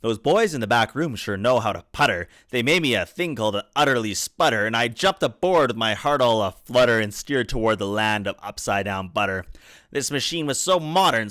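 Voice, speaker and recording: A man reading a rhyming children's story aloud with expressive, theatrical phrasing, like a father to his kids. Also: lowish voice, normal speed, no room sound, studio quality. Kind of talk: storybook read-aloud in rhyme. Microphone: USB desktop microphone.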